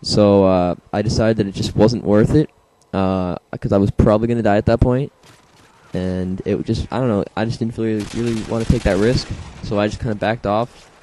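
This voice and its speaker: A young man, slightly hoarse from a congested throat, rambling casually through his own match footage with many fillers and self-deprecating asides. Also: lowish voice, steady tone, a little fast, warm tone, a little hiss or hum. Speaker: gameplay commentator. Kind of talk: casual gameplay commentary.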